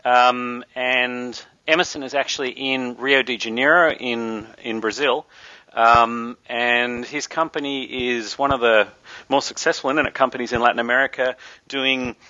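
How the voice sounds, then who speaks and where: A male host with a bright, crisp voice who asks quick, friendly questions in a conversational business interview. The sound is dry and close, with slightly lo-fi quality. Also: mid-range voice, fairly even intonation, dry recording.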